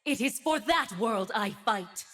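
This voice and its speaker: A woman's voice: a little high, expressive, a little slow, a bit bright. A woman playing a proud, righteous winged warrior and judge in a video game, with a solemn, commanding, haughty delivery. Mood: stern judgment. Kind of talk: dramatic video game voice acting.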